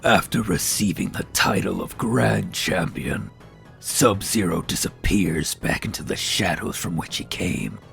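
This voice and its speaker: A man with a gravelly voice reading a dramatic scripted narration. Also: low voice, a little slow, fairly bright tone, faint music behind.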